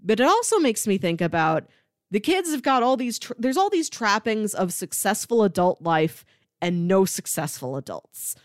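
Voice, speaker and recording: A woman's voice: medium pitch, very animated, moderate pace, no echo. A woman talks through a film as a podcast co-host in a mid-range voice. She is casual and thoughtful, leaning often on "like" as she works out her point. The sound is dry, with no room around it. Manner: thoughtful, conversational, frequent "like" filler.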